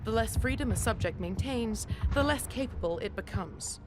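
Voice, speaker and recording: An actress playing a frightened but pragmatic neurospecialist in a video game, explaining things anxiously. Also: female voice, a little high, animated, normal speed, noisy background, close to an everyday voice. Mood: uneasy, explaining. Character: frightened, pragmatic female neurospecialist at villain's research lab.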